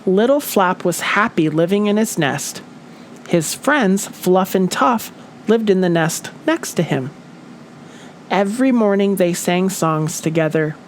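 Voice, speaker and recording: A woman teacher giving a children's story read-aloud lesson in a deliberate, expressive, instructional way. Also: on the low side, a little hiss or hum.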